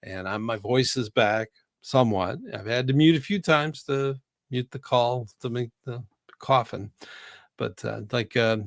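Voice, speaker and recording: A man's voice: mid-range voice, measured pace, gravelly, no room sound, studio quality. A middle-aged man hosting a casual live video-call chat, recovering from a cold, rambling and laughing often. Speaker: host.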